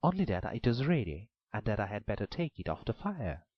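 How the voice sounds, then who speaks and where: A man's voice: sing-song, a little fast, breathy edge, dry recording. Man putting on a timid clever young girl, raised pleading voice, storybook reading, dull dry recording. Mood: innocent. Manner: higher, pleading, innocent.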